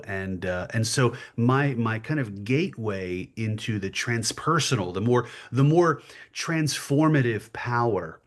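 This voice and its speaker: A man who was an opera singer, talking in a panel conversation, hesitant and full of fillers. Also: on the low side.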